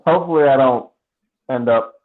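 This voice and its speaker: A man chatting casually and haltingly as a podcast co-host over a remote internet call. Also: mid-range voice. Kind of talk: casual chat. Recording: muffled, thin phone-like internet connection.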